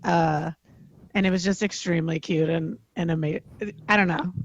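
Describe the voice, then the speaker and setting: A woman's voice: fairly low pitch, steady tone, normal speed, no echo, dull audio. A woman on a panel chatting casually, enthusiastic and scattered, in very colloquial speech. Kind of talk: casual chat.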